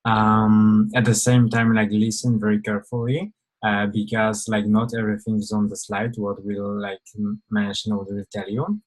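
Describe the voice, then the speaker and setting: Low flat-voiced man presenting. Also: moderate pace, low-quality recording. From Poland. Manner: hesitant, many fillers and restarts. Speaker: webinar presenter. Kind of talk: informal online presentation.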